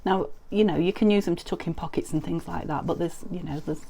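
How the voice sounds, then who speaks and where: A middle-aged woman from the UK with a croaky voice from a summer cold, chatting in a rambling, friendly way through a craft tutorial with many ums and restarts. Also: on the low side, brisk, dark tone, rough recording. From United Kingdom. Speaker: crafting vlogger.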